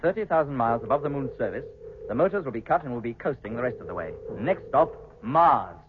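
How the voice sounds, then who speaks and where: A man's voice: medium pitch, lively intonation, quick pace, noisy background, natural voice. An actor playing a commanding male spaceship captain in a 1950s British radio drama, with a clipped, formal British delivery. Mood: calm authority. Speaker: actor in a radio science fiction serial. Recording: 1950s radio recording, tinny and muffled.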